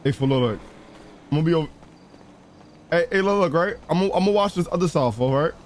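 A man talks quickly in casual, slangy in-game chatter. He tends to repeat phrases as he plays. There is faint background noise behind his voice. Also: on the high side, animated. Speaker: streamer playing a crime role-play game.

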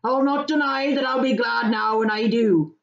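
A woman voicing a gruff old male hobbit farmer who speaks in blunt country talk, on slightly dull audio. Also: fairly high pitch, steady tone, normal speed, voice pushed up. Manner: rustic country speech, bossy.

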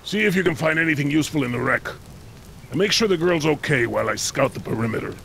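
Gravelly, gruff mercenary. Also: male voice, fairly high pitch, expressive, fast, a bit bright, a little hiss or hum. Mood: dry, gruff. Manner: terse, dry. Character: gruff, battle-hardened, dry-humored male mercenary. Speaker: game character, mercenary leader. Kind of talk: dramatic video game acting.

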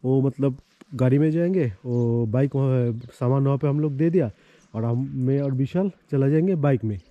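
A man's voice: medium pitch, moderate pace, dry recording, narrow, tinny audio. A man narrating his motorcycle trip outdoors in a loose, chatty vlog style, full of fillers. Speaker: motorcycle travel vlogger. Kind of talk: casual travel vlog narration. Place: outdoors on mountain roads.

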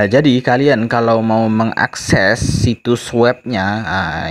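Guy with a lowish voice chatting over a phone screen recording, reading out posts and explaining them. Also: slight background noise. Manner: repeats "ya teman-teman ya" often, reads posts aloud then explains. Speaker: crypto news vlogger. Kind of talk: casual screen-recorded walkthrough. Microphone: phone mic, voice-over on screen recording.

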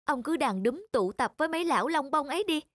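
A woman voicing a worried, nagging, middle-aged wife in an animated cartoon, reproachful and fretful. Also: high voice, fast, no room sound, faint music behind. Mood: reproachful, upset.

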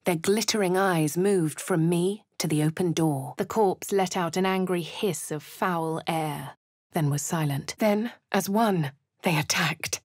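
An actress voicing a posh young woman adventurer in a video game, with a husky, refined delivery. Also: lowish voice, measured pace, no room sound. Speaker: player character. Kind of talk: video game narration and dialogue. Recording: dry studio booth.

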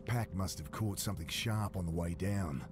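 Man acting deep-voiced, weary brooding drifter. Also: moderate pace, noisy background, close to an everyday voice. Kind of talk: pulp thriller game narration and dialogue. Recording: dark synth music behind. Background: brooding synth score.

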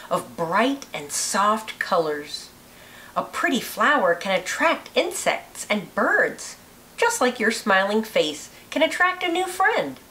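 A woman reading a children's picture book aloud with deliberate, expressive phrasing. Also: fairly high pitch, poor audio. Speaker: read-aloud narrator, teacher.

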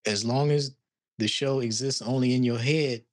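A man's voice: medium pitch, steady tone, normal speed, no room sound, studio quality. Direct man coaching on a video call. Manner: direct, pushing, repeats words. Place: living room at home. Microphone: studio mic on arm, video call.

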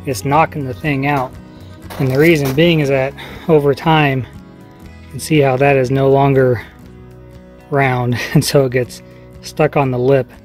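A man's voice: medium pitch, little pitch movement, unhurried, long pauses, faint music behind. Plainspoken man explaining a farm repair. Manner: easygoing, plainspoken, explaining as he works. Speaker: homesteader vlogger. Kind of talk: casual how-to vlog. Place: outdoors on a farmyard. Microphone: camera mic, selfie at arm's length.

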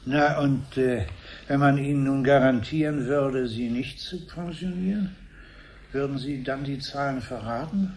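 A man's voice: medium pitch, darkish tone, natural voice. A man playing a polite, hesitant, deferential husband paying a visit in a 1970s German radio play. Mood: hesitant, probing. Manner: deferential, halting. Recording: slightly hissy 1970s radio recording.

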